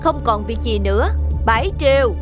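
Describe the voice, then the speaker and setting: Lady doing haughty young king in a cartoon folk tale, high pitched, bossy, bit of music. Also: lively intonation, moderate pace, natural voice. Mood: angry. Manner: imperious, commanding. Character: haughty, hot-tempered young male emperor. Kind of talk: animated folk tale dialogue. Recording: faint music underneath.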